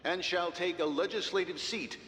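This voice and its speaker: Casting: official sci-fi newsreader, formal, forceful bright tone, long reverb, slight background noise. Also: male voice, steady tone, moderate pace. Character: formal male announcer relaying galactic political news. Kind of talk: formal announcement in a video game. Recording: reverberant, faint rumbling noise.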